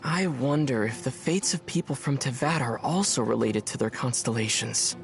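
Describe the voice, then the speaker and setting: A man's voice: mid-range voice, normal speed, creaky, natural voice. A man voicing a young, curious, mild traveler from another world in a video game, musing and understated, with music behind. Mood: thoughtful, curious. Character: young male otherworldly traveler, curious, mild, dryly puzzled. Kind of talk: video game character dialogue.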